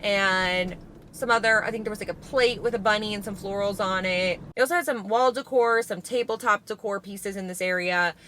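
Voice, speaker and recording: Woman vlogger, chatty and rambling, bright tone, a touch hoarse. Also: mid-range voice, fairly quick, slight background noise. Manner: chatty, rambling, self-correcting. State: slightly hoarse from allergies. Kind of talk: casual shopping haul vlog.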